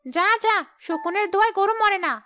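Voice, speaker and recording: High, scornful stingy she-ghost voiced by a woman. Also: brisk, fairly bright tone. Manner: scolding, dismissive, smug. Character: stingy, scornful female ghost guarding her fish. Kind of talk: cartoon ghost-story dialogue.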